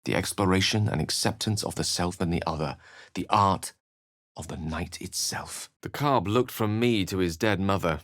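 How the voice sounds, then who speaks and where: Fantasy game wizard: low English voice, very dry sound, eloquent, measured and theatrical. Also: male voice, fairly bright tone, dry recording. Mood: musing, intense. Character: learned, eloquent adult male wizard adventurer. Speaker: video game voice actor. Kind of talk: dramatic scripted game dialogue and narration.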